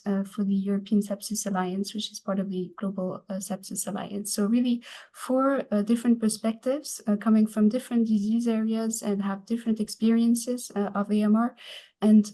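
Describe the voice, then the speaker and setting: A woman moderating an online panel, speaking haltingly with a constant "uh" between phrases. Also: mid-range voice, mellow. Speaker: webinar moderator.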